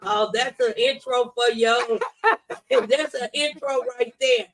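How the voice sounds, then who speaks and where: A woman's voice: on the high side, slow pace, background noise. A woman hosting a radio talk show in a studio, chatting casually and chiming in with agreement. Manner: affirming, interjecting agreement.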